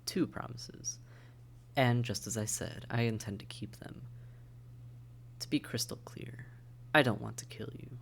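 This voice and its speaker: A man playing a taunting, predatory vampire in a roleplay audio drama, with mock-tender menace and breathy, coaxing speech. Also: medium pitch, measured pace, pauses often, slight background noise. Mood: silky, gloating. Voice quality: breathy, often whispered, creaky. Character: silky, taunting, predatory vampire toying with captive hunter. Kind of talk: immersive roleplay audio drama, often whispered.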